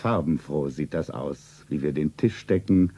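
An actor playing an ailing, tender, secretive rich lover in a romance radio play, husky and breathy, solemn and weary. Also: male voice, very deep voice, a little slow, mellow, full character voice. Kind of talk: 1970s German radio play, pulp romance. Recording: dull old radio play tape.

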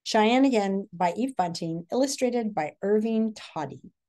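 A middle-aged woman with a medium-pitched voice reads to pupils as their teacher. Her delivery is deliberate, like classroom storytelling, with pauses to put questions to the listener. She is recorded indoors at about arm's length from the device. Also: lively intonation, warm tone. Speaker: teacher reading a picture book aloud. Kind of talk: read-aloud lesson with questions to pupils. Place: small furnished living room or hotel room, indoors.

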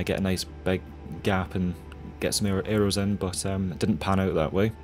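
A young man with a very low voice narrates as a pilot. His commentary is casual and conversational. Soft music plays faintly behind him. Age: young adult.

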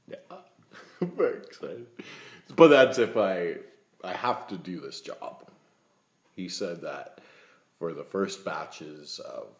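A man's voice: extremely low pitch, gravelly, full character voice. A man in his thirties playing a naive, milk-loving vegetarian in a comedic webcam monologue, rambling earnestly and awkwardly at a table indoors. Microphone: laptop webcam, close. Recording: echoey room sound.

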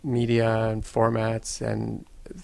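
A filmmaker guest from the United States talks in slow, reflective sentences. His low voice stays fairly flat in pitch. A gravelly edge runs through his speech. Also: no echo. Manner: measured, thoughtful, slow-building sentences. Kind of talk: reflective interview answers.

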